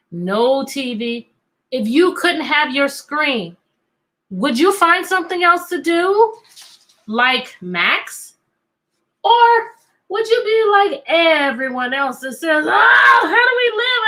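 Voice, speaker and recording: A woman teacher hosting an interactive read-aloud for children, talking in an animated way and putting questions to her young listeners. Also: high-pitched, low-quality recording. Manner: animated, asking listeners questions and repeating them.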